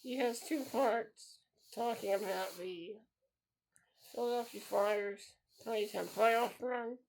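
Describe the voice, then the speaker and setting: A man's voice: sits high, steady tone, slow, gravelly, a little hiss or hum, low-quality recording. A man in his thirties hosting a solo podcast, talking in an earnest, repetitive way and circling back to the same phrases. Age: 30s. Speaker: podcast host.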